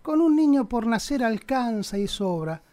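A man's voice: extremely high pitch, expressive, normal speed, a little mellow. An Argentine man playing a sanctimonious, pompous conservative politician in a satirical radio play, with an unctuous, preachy manner. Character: sanctimonious male conservative politician, pious and crudely provocative.

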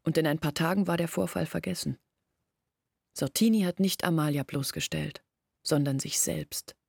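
An actress in a German radio play playing an earnest village woman who recounts events in a measured, literary way. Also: deep voice, creaky, a little mellow, slightly rough, dry recording, studio quality, exaggerated voice. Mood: insistent.

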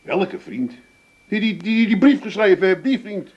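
A jealous, hot-headed husband from Amsterdam in a comic radio play. His voice is a bit high, and he stammers and blusters in big theatrical bursts. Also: sing-song, measured pace, a little mellow, lo-fi sound, exaggerated voice.

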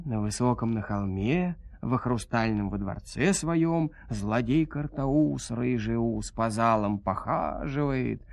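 Animated male fairy-tale storyteller. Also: medium pitch, vocal fry, dry recording. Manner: expressive, folksy telling. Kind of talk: dramatized fairy tale narration.

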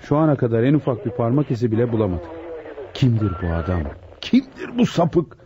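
An actor playing a dutiful, commanding police inspector in a radio drama, speaking deliberately. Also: male voice, medium pitch, very animated, over music, close to an everyday voice. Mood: reporting, frustrated. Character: dutiful male police inspector leading a murder case. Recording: dull, noisy old radio broadcast.

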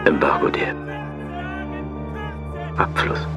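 An actor playing a brooding speaker who recites fragments in a halting way, word by word, sometimes dropping toward a whisper. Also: male voice, close to an everyday voice. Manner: halting, isolated words, partly whispered. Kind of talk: experimental spoken-word performance. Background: loud music.

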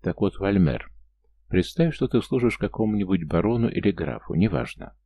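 A commanding fantasy healer-priest, read by a male narrator, brusque and given to lecturing. The voice is low and gravelly, set in a dry audiobook recording. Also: animated, moderate pace, warm tone, no room sound, exaggerated voice.

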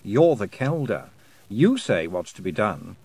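A fretful talking toad, acted by a male reader gives dry advice in a storybook. He is apologetic and pedantic, hesitating over his words with a lively rise and fall. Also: on the low side, measured pace, breathy edge, no room sound, full character voice.

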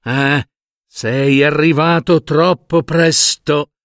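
A man's voice: fairly high pitch, fairly even intonation, drawn-out words, fairly bright tone, dry recording, studio quality, exaggerated voice. Man voicing a scornful, bossy winter wind. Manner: chiding, taunting.

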